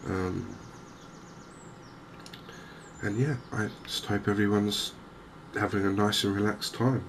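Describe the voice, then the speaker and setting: Slow, low-voiced English man, softly spoken. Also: fairly even intonation, creaky, noisy background. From England. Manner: soft-spoken, gentle, unhurried. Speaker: gaming channel host. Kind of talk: softly spoken cozy ASMR game commentary.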